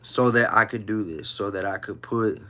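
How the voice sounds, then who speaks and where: A man in his thirties with a fairly low voice talks casually on a livestream. He tells personal stories in informal street slang. His delivery is emphatic, with points often restated. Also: normal speed, sharp tone. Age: 30s. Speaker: livestream host.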